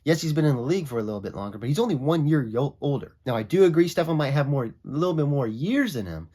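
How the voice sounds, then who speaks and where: Slightly raspy man talking football. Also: quick pace. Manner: opinionated, conversational, animated pitch swings. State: sick, raspy voice. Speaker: sports vlogger. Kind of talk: casual sports commentary.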